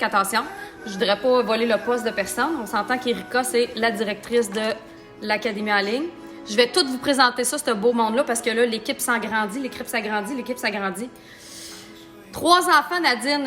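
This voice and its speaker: Femme d'âge mûr à l'accent québécois, animatrice de live beauté, parle en riant, ton taquin. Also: female voice, fairly high pitch, a bit bright. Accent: Québécois French. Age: middle-aged. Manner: chatty and joking, talks while applying makeup. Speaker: makeup artist and business owner. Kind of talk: live-stream makeup tutorial chat.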